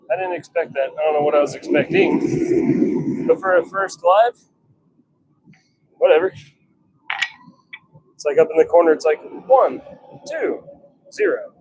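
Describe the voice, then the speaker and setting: Guy muttering casually on a livestream while working, crackly fry in his voice, self-deprecating, noisy workshop sound. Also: very high-pitched, a little mellow. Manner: self-deprecating, grumbling, offhand. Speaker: livestream host and hobby blacksmith. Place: home forge workshop.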